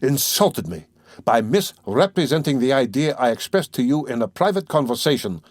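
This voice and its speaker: A man's voice: medium pitch, big pitch swings, moderate pace, no echo, voice pushed up. A man reading aloud, playing a pompous, touchy suitor who speaks stiffly and formally. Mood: pompous huffy. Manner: huffy, sententious, stiffly formal. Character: pompous, touchy middle-aged male suitor.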